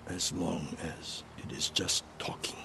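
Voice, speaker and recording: An actor playing a middle-aged monk in a video game, gentle and deliberate, with a creaky voice. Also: male voice, sits low, fairly even intonation, noisy background. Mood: concerned. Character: calm, protective middle-aged Buddhist monk. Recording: dialogue over game ambience.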